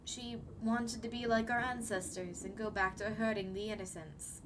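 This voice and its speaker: Over clearly noisy ambience, a woman acts out a young siren queen in an ASMR roleplay. She is soft-spoken, with a mid-pitched feminine voice. Her speech is formal yet hesitant, with an anxious, apologetic gentleness. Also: steady tone, moderate pace, natural voice. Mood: hesitant, somber. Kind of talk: ASMR roleplay addressed to the listener.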